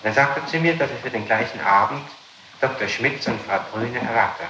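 A man working as a police interrogator, speaking in halting, formal officialese as he questions and reads out statements, on an old 1960s tape. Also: medium pitch, sharp tone, very echoey, slight background noise, rough recording. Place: reverberant room indoors.

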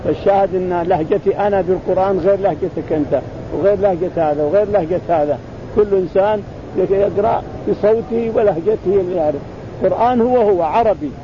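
A man explains a religious text in spoken commentary. His voice sits high and he talks quickly, often repeating and restarting phrases. The recording is muffled, with a loud, constant rumble behind him. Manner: repeats phrases, restarts words, acts out quoted exchanges. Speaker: teacher explaining hadith.

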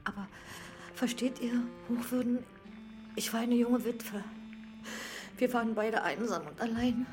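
Hesitant, sorrowful widowed housekeeper, played by an actress. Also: female voice, on the high side, over music, lightly acted. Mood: hesitant, ashamed. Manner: hesitant, hushed, deliberate. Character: widowed parsonage housekeeper, older, sorrowful, discreet, confessing. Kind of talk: radio drama acting.

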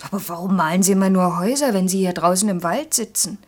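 An actress playing a direct, provoking young employee in a naturalistic radio play, pert and questioning in manner. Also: female voice, fairly low pitch, fast, close to an everyday voice. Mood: blunt, inquisitive. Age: young adult.

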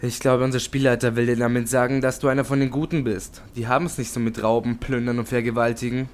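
Give me a man as a smug, dry role-player, medium-pitched, in a comedy audio play. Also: little pitch movement, fast, a little hiss or hum, close to an everyday voice. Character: smug, dry male tabletop player of a mage. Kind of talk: German comedy audio drama acting.

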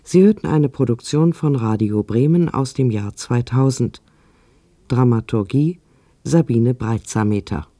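Male radio announcer, very mellow and unhurried, close dry sound, formal scripted credits. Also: medium pitch, dry recording.

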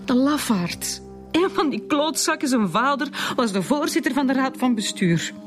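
A Flemish woman in her forties, a schoolteacher both bitter and protective. She speaks haltingly and with raw emotion, in naturalistic drama style with music underneath. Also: high voice, expressive. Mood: bitter, indignant. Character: bitter, protective 43-year-old schoolteacher confessing to killing. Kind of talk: naturalistic radio drama acting.

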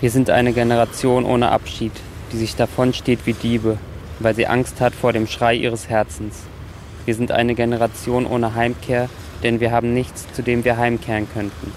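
A man's voice: mid-range voice, flat delivery, normal speed, darkish tone, a little hiss or hum. A man speaking in an earnest, reflective and literary way. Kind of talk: reflective account and solemn literary reading.

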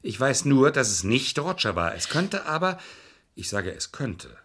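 An actor playing an insistent, calculating lawyer in a vintage crime radio play, speaking formally and pressing his points in a measured way. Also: mid-range voice, big pitch swings, fairly bright tone. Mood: urgent, grave.

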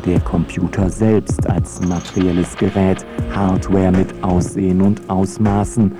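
Low-voiced wry man narrating over music. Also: little pitch movement, a little slow. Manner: wry, rhetorical. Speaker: author and narrator of a radio feature. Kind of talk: scripted radio feature narration.

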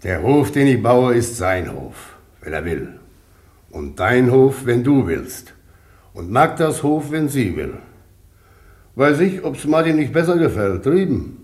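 An actor playing an old, plain-spoken peasant father in a radio play, with weighty, halting, declamatory phrasing. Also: medium pitch, very animated, darkish tone, breathy edge, heavily acted. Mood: earnest, fatherly. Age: older.

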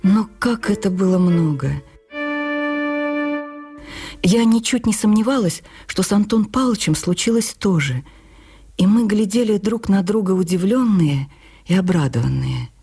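A woman narrating a literary memoir for radio drama in an intimate, wistful and expressive manner. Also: mid-range voice, unhurried, pauses often, breathy edge, soft background music.

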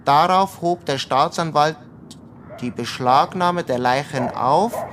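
A man's voice: on the high side, slow pace, a bit bright, a little hiss or hum. An actor in a radio play as a terse, guarded man who talks in clipped, evasive phrases. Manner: clipped, evasive short answers. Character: terse, guarded man reading news aloud to a woman. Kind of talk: naturalistic radio drama dialogue.

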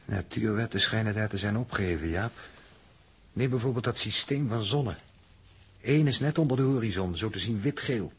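A man's voice: fairly low pitch, normal speed, slightly rough. An actor playing an analytical, logic-minded male astronaut, speaking precisely in 1960s Dutch radio drama style. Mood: puzzled analysis. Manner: precise, reasoning. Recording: noisy early-1960s radio drama recording.

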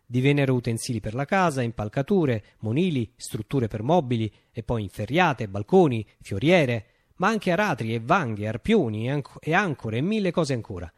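An actor performs a visionary male storyteller, telling of a utopian revolution as a radio monologue. His mid-pitched voice swings expressively up and down, with deliberate, declamatory phrasing. The sound is dry and without room echo. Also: lively intonation, natural voice. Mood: measured, wry.

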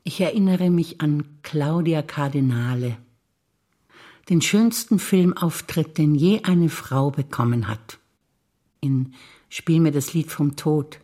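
A female stage actor reciting personal memories in a calm, reflective way, over music. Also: low voice, takes it slowly.

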